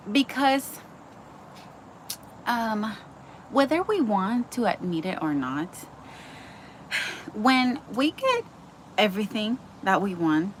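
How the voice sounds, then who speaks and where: A young woman from the Dominican Republic talks through a devotional lesson like an informal chat. She is earnest and keeps circling back with likes and ums. Her voice sits at an ordinary middle pitch, with a faint hum of room ambience behind her. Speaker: Bible teacher. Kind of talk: casual devotional teaching.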